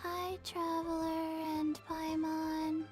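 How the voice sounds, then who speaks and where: Very high, bubbly little sidekick. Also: female voice, monotone, slow pace. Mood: curious. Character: bubbly, tiny childlike female travel companion. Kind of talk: anime game voice acting. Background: playful game music.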